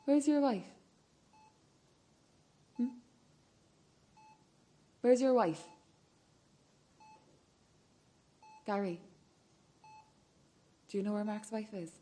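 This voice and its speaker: An actress playing a hard, controlling sister bent on revenge, pointed and insistent in a radio play. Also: female voice, mid-range voice, animated, slow pace, long pauses, mellow. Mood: cold, taunting.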